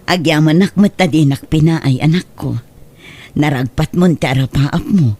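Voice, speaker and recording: A woman's voice: low-pitched, moderate pace, darkish tone. A woman playing a proud, devoted middle-aged mother of a grown daughter in a radio drama. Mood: proud, tender. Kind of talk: Ilocano radio drama acting.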